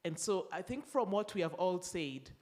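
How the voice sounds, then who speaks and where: Measured panel moderator, mid-pitched voice. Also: lively intonation. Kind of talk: summing up a conference panel.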